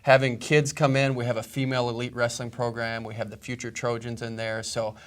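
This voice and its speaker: A man from Iowa, guesting on a local interview show as the head of a museum. His voice sits in a middling pitch and stays flat and even. He explains things in a steady, proud, informative way. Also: fairly quick. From Iowa, USA. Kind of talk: casual interview.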